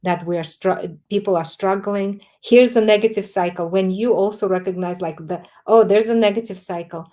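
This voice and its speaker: A woman, a guest author answering interview questions in a calm, emphatic, explanatory way. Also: medium pitch, moderate pace, hardly any pauses.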